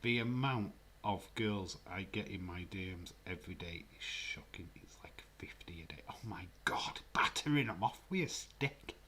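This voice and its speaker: A man's voice: deep voice, animated, normal speed, hardly any pauses, bright, forceful tone, slight background noise. A man with a Yorkshire accent hosting a live stream, chatting casually and teasing his chat, laughing often and sometimes dropping to a near whisper. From Yorkshire, England.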